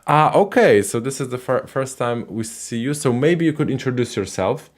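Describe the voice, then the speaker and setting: A man hosting a live call-in language show, casual and halting, with many fillers and restarts. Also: mid-range voice, animated.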